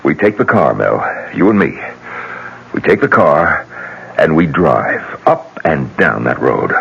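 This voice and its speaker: An actor playing a grim, determined widowed husband in a 1970s radio drama, speaking with deliberate intensity. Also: male voice, a bass voice, very animated, vocal fry, breathy edge, heavily acted. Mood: grimly determined. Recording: muffled old radio broadcast, noisy.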